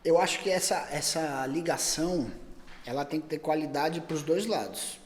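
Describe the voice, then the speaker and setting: A man's voice: a little high, normal speed, in a large hall, slight background noise. A middle-aged man, talking at length in a casual podcast chat and often restarting his words. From Brazil. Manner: talks at length, many repeated words and restarts. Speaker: pet store chain owner, guest.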